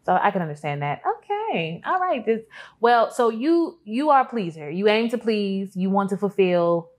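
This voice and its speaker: Animated American woman host. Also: medium pitch, normal speed. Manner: animated, teasing, laughs often. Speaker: interview show host. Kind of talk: casual interview. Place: studio set with lights.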